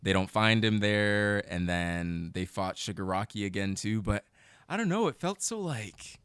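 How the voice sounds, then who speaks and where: Animated, clear-voiced man chatting as guest. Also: fairly low pitch, a little slow, no echo, clean studio sound. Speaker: podcast guest. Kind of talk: casual chat.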